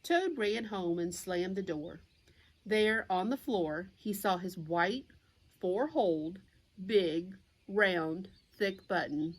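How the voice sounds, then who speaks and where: Woman, storybook read-aloud for children, lively intonation, unhurried, slightly husky voice. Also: medium pitch. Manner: simple, explanatory asides to young listeners. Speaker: teacher reading aloud to children.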